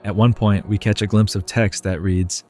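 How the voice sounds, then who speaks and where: Flat low-voiced male narrator. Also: moderate pace, soft background music. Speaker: YouTube series narrator. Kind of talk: horror story recap narration.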